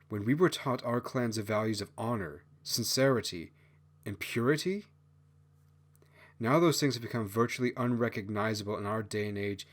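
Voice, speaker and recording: A stern, earnest male fox , voiced by a male narrator, speaks in a fairly low register. His manner is grave and moralizing, like an elder brother in a fantasy storybook. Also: lightly acted.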